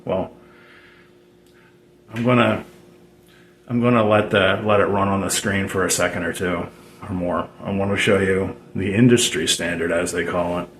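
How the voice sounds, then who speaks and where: Low-voiced man in an echoey room. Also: fairly even intonation, fairly bright tone. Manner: halting, rambling explanation with repeated phrases. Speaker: DIY radio hobbyist presenter. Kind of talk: casual unscripted how-to demonstration. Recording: roomy echo, noticeable background noise.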